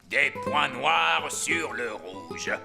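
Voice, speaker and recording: A jolly old cartoon pirate elf captain, gravelly and full of theatrical bluster. He speaks slowly with big swoops in pitch, over loud music. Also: expressive, full character voice. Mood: mysterious, jovial. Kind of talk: animated children's cartoon acting.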